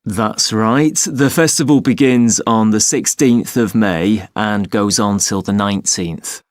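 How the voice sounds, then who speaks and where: A man playing a helpful, courteous festival box office clerk in a scripted listening-test dialogue, speaking clearly and informatively. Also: fairly low pitch, measured pace, dry recording, clean studio sound, lightly acted. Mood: helpful, polite.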